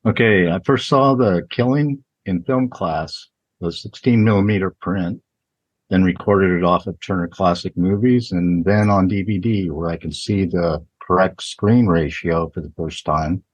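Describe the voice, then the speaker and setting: A man with a low, husky voice reads his film notes in a matter-of-fact, list-like way. The sound is dry and close, with no room echo. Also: measured pace, muffled recording. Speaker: film discussion panelist.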